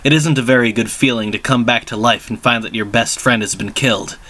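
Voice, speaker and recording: A bold young sword-wielding hero, read by a man in a dramatic story. He is earnest and eager, with a mid-pitched, forceful, bright voice. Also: fairly even intonation, a little fast. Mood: determined.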